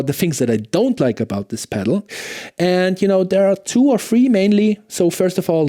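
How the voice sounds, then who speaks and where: A man's voice: fairly high pitch, dark tone. A man giving a casual, conversational product review as a gear demonstrator, enthusiastic and often checking in with the listener.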